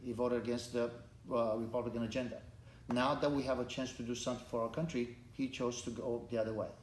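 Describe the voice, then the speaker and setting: A middle-aged man from Romania, a candidate for Congress, answering interview questions earnestly with many halting restarts. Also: mid-range voice, little pitch movement. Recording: echoey room, faint background noise.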